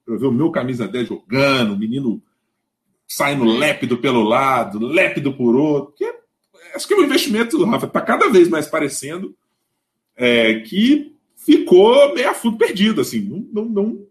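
A man with a Brazilian Portuguese accent hosting a casual football live stream, animated and often repeating words as he restarts. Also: medium pitch, moderate pace. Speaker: host.

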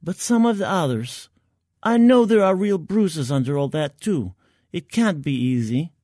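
A concerned female military medic from a science fiction audio story, acted out by a male narrator. Her voice is high and very lively in pitch. She speaks gently and earnestly, probing with care, in a close, dry recording. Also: a little slow, no room sound.

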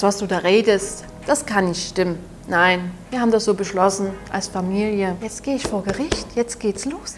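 A woman in her twenties from Saxony with a Saxon German accent, speaking haltingly and softly as an interview guest, often restarting her sentences. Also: medium pitch. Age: 20s. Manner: halting, soft-spoken, with frequent restarts. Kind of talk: personal testimony in an interview.

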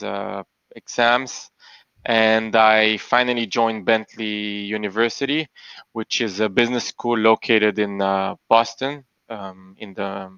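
A man's voice: on the low side, a bit bright, smooth, dry recording, muffled recording. A man from Belgium, likely in his thirties, answering interview questions in a thoughtful way with many fillers and self-corrections. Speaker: podcast guest, tech investor.

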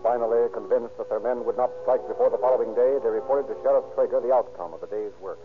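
Man as brisk old-time radio crime narrator. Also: mid-range voice, lightly acted. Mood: formal. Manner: formal, factual. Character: formal male crime-story narrator. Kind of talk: scripted 1930s radio narration. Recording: tinny old radio recording, music behind. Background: music.

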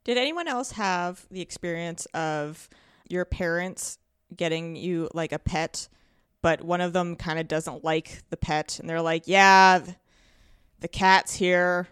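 Woman chatting on a podcast, lowish crisp voice, tells stories acting out the quotes. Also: slow pace, a bit bright, dry recording, studio quality. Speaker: podcast guest. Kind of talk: casual chat with personal anecdotes.

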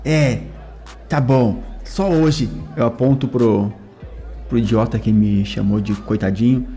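A man's voice: medium pitch, fairly even intonation, takes it slowly, mellow. A man playing a schoolboy in a tabletop game, whiny and stammering. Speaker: player. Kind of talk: in-character roleplay as a schoolboy. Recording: music under the voice.

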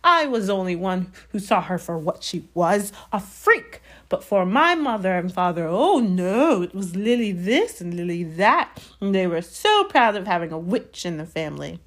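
A woman's voice: on the low side, big pitch swings, moderate pace, few pauses, a bit bright. A woman voicing a bitter middle-aged aunt in a storybook, shrieking and ranting with resentment. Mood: shrill resentment. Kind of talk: storybook character voice.

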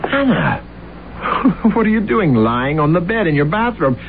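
An actor playing a boastful, self-deluded husband who talks with brash bluster, in a radio drama. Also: male voice, on the high side, very animated, normal speed. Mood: cheerful. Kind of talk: 1970s radio drama acting. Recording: tinny old radio broadcast with faint hiss.

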